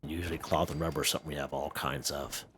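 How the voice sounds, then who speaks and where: A man with an extremely deep, low voice talks through a game guide in an easygoing, chatty way, his throat a little hoarse. He keeps checking in with the viewer, and there is a faint hum of noise behind him. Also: fairly even intonation, fast, a bit bright. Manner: chatty, checks in with "okay?" and "right?". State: slightly hoarse. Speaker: gaming video host. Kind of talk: casual game walkthrough.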